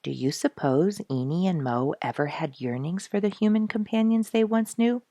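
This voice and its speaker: A woman reading a story aloud to children as a storyteller, expressively and with hushed emphasis. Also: fairly low pitch, animated, moderate pace, no echo, clean studio sound.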